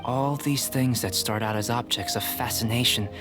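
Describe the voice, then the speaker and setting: Calm, cerebral young alchemist played by a man. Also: mid-range voice, over loud music, natural voice. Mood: pensive, fascinated. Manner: measured, articulate, detached, politely scholarly. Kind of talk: video game voice acting.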